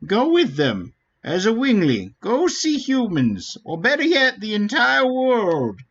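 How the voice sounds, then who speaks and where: Slow, high-voiced ancient elder played by a man. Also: wildly swooping pitch, fairly bright tone, lo-fi sound, exaggerated voice. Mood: solemn, hopeful. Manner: slow, grave, formal delivery. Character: ancient, solemn Wingly elder, wise leader of a forest people. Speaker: game streamer. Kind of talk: dramatic read-aloud of fantasy game dialogue. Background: faint game music.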